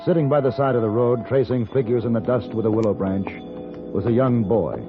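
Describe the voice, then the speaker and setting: An actor playing a calm, worldly gunfighter in an old-time radio western, speaking in a measured, well-spoken, probing way. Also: male voice, mid-range voice, lightly acted. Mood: wry narration. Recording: muffled vintage radio recording with music.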